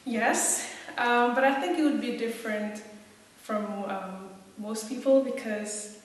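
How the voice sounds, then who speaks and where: A woman's voice: on the high side, takes it slowly. A woman from Indonesia who grew up in several countries, thinking aloud hesitantly as she answers in a reflective interview. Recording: very echoey room, distant mic.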